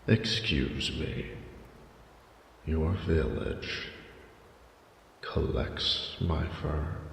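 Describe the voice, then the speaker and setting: A man's voice: a bass voice, full character voice. A man voicing an ancient, proud and flirty male dragon with pompous, archaic, formal speech in a romantic audio roleplay. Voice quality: gravelly. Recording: roomy cavernous reverb with noticeable noise.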